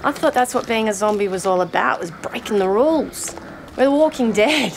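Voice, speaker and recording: A freshly turned female zombie with strong opinions and a fairly high voice. She rants in a sardonic, sweary, chatty way, as in a naturalistic comedy short. The location sound is clearly noisy. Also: fairly quick. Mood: disillusioned, earnest. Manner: sardonic, sweary, conversational ranting. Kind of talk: naturalistic short-film comedy acting, mock interview.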